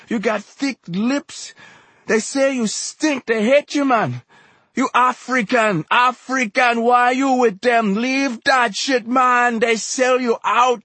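Anguished, high-pitched, furious street exhorter. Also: male voice, a bit bright, husky, no room sound, full character voice. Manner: impassioned, declamatory, emphatic repetition in dialect. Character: heavyset, anguished, furious male street exhorter, passionately pleading. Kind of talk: audiobook character dialogue.

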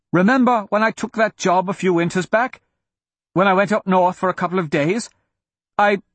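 A man's voice: sits high, fairly bright tone, no echo, voice pushed up. A man voicing a frightened, protective father and con man in a storybook, with urgent, pleading delivery.

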